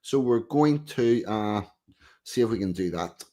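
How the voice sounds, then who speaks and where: A man's voice: lowish voice, animated, measured pace, warm tone. A man hosting a casual live stream, chatting loosely with viewers and muttering under his breath. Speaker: streamer host.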